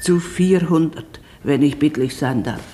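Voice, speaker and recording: An actress playing a pious, guilt-ridden old maidservant, halting and deferential, in a radio play. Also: female voice, low voice, mellow, breathy edge. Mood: humbly insistent. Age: older.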